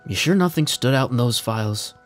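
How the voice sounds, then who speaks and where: An actor playing a steady police captain with dry, understated authority in an audio drama. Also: male voice, medium pitch, fairly quick, faint music behind, close to an everyday voice. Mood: calm, probing.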